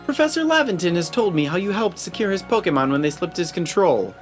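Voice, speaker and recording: A woman voicing a stern, aloof captain in a video game, formal, measured and cool, with music behind. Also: fairly low pitch, sing-song. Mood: stern, appraising. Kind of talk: voiced video game dialogue.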